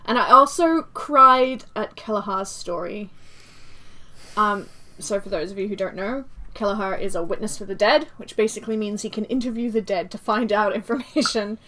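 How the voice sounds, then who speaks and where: A woman's voice: medium pitch, normal speed, pushed and bright. A woman on a book podcast panel, chatting casually and enthusiastically about a novel, often laughing.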